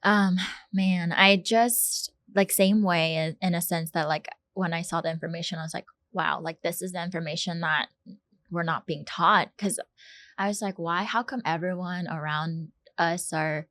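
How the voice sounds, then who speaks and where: A woman's voice: lowish voice, fairly even intonation, moderate pace, a bit bright. A young woman from South Korea, co-hosting a podcast, telling a personal story earnestly with many fillers and restarts. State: tearful, fighting back emotion. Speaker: podcast co-host. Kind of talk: casual personal conversation.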